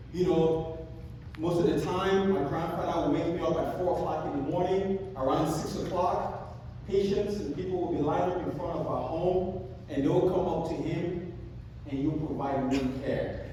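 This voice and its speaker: A man in his thirties from Cameroon with a Cameroonian accent, giving an animated motivational keynote at a conference and telling his story with self-deprecating jokes. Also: a little high, a little hiss or hum. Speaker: conference speaker. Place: large conference hall. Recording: echoey hall, speaker far from mic.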